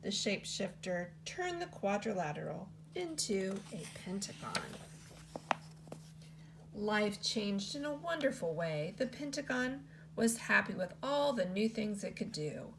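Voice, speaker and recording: Gravelly, expressive woman reading aloud. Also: measured pace, a little hiss or hum, rough recording. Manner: expressive read-aloud with lots of pitch movement. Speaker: teacher. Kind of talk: reading a picture book aloud.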